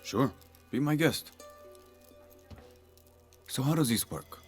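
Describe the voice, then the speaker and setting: A man's voice: medium pitch, expressive, slow, faint music behind. A man playing an older village mapmaker in a video game, genial and proud of his craft, easygoing and lightly teasing. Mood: curious. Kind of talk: cinematic video game acting.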